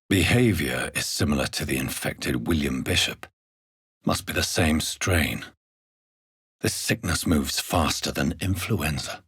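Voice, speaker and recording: A man playing a grave, courteous gentleman doctor turned vampire in a video game, with an English accent and a formal, earnest, intense manner. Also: sits low, slightly rough, dry recording, studio quality, heavily acted. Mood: pensive. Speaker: video game lead character voice. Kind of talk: dramatic video game acting.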